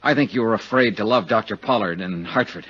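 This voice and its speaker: An American actor playing a steady, hard-boiled investigator who coaxes and commands in a 1950s radio drama. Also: male voice, fairly low pitch, a little fast, breathy edge. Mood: earnest, probing. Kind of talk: 1950s radio drama acting with first-person narration. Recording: dull vintage 1950s radio recording.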